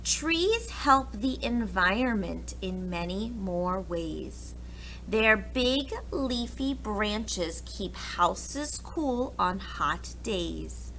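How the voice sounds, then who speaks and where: A woman's voice: mid-range voice, creaky, a bit bright, a little hiss or hum, low-quality recording. A woman reading a picture book aloud to preschoolers, expressively and speaking directly to the young listeners. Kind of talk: children's picture book read-aloud with asides.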